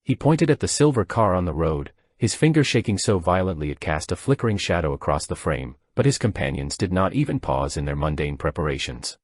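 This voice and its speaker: Very deep-voiced man narrating horror. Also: sing-song, a little fast, hardly any pauses, no room sound, clean studio sound. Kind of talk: scripted narration of a slow-burn horror story.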